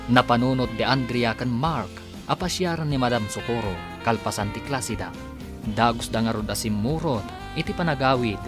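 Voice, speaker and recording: A man narrating and announcing a radio drama in a scripted, dramatic style. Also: mid-range voice.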